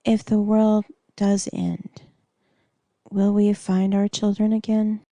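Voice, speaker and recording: Man as slow, plaintive Norse wife. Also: high-pitched, monotone, dark tone, no echo, pitched above the performer's own voice. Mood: sorrowful. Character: grieving, gentle Norse wife and mother.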